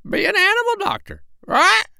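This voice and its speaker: A man voicing a bossy, clever female parrot in a shrill, high voice for a children's storybook. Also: very animated, moderate pace, creaky, pushed and bright, no room sound, clean studio sound, full character voice. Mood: urgent, bossy. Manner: emphatic, instructing.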